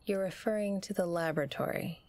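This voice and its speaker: A woman playing a calm, probing interviewer in an audio drama, with gentle, measured delivery. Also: on the low side, dry recording, natural voice. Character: calm, probing female interviewer coaxing a survivor. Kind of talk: dramatic audio roleplay acting.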